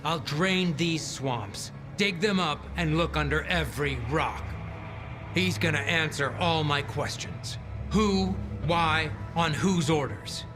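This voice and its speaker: A man playing a gruff, blunt, foul-mouthed military commander in a video game cutscene. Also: a little high, slow pace, music in the background. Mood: angry, vengeful.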